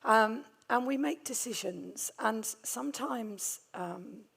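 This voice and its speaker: A middle-aged woman with a hoarse, gravelly voice from a vocal cord nodule, giving a conference talk on hospital infection control with many hesitant ums and a friendly, self-deprecating manner. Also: fairly high pitch, slow pace, fairly bright tone. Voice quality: gravelly, strained voice. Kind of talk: conference presentation.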